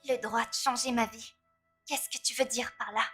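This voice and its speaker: A woman voicing a proud, sharp-tongued teenage girl in a French fan dub, talking in a cool, cutting way. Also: on the high side, steady tone, moderate pace. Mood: puzzled. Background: faint music.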